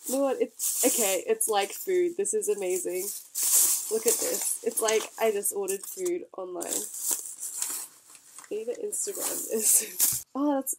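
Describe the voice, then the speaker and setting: A woman's voice: mid-range voice, noisy background. A young woman vlogger, congested from a cold, chatting bubbly and casually while unboxing packages. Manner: chatty, bubbly, lots of fillers and likes.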